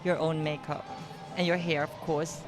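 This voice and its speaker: A woman's voice: deep voice, little pitch movement, moderate pace. A woman interviewer asking light, teasing questions with a giggly manner. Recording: music playing behind.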